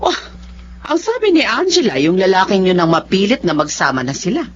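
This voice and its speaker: A woman's voice: medium pitch, sing-song, fairly quick, slight background noise, lightly acted. A Filipino woman acting in a radio drama as a concerned, cautious middle-aged aunt who gives advice. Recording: dull lo-fi audio.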